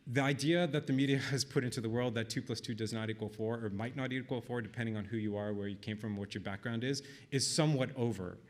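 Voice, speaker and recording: A middle-aged man giving a measured speech at a conference, pausing often with ums and small restarts as he works through his points. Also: mid-range voice, fairly even intonation. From Israel. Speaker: conference speaker. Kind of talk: delivering a speech.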